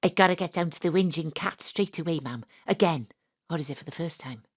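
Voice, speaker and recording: A woman's voice: low voice, fairly quick, husky, dry recording, clean studio sound. An actress playing a daydreaming detective who narrates her own heroic dream in melodramatic first person. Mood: hurried. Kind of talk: live radio drama acting. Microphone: home studio mic.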